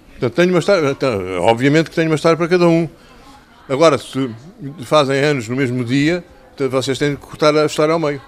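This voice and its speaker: An older man with a European Portuguese accent speaks to a group of children in the manner of a storyteller. His voice is mid-range and a little hoarse. He is conversational and instructive, often repeating a phrase to make it stick, and speaks into a handheld microphone. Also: medium pitch. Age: 60s or older. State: slightly hoarse. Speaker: children's author. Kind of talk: talking and telling stories to schoolchildren. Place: covered outdoor tent in a town square.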